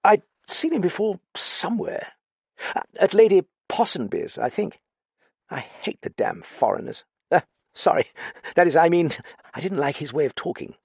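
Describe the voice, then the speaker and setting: A male narrator acts out a plump, naive young gentleman in a storybook. The voice is pitched high and swings up and down. He hesitates and stammers, sounding sheepish and a little foolish. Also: very animated, normal speed, vocal fry, slightly rough, no echo, clean studio sound, heavily acted. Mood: chatty, awkward. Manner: hesitant, stammering upper-class slang.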